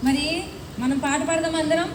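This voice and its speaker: A woman's voice: sits high, steady tone, moderate pace. A woman hosting a children's puppet show, prompting and instructing like a Sunday school teacher. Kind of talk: lively question-and-answer with children and puppet. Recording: echoey hall, distant mic, clear noise.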